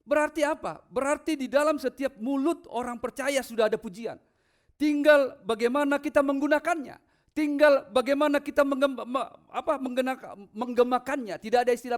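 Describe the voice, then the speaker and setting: A man preaching as a pastor, emphatic, questioning the congregation and swinging from low asides to loud proclamation. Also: brisk. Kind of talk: sermon with scripture readings.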